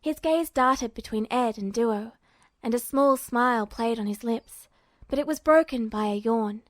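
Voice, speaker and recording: A woman reads fiction aloud as a narrator. Her voice sits on the high side, and the recording is dry with very little room sound. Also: measured pace, no room sound. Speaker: podfic narrator.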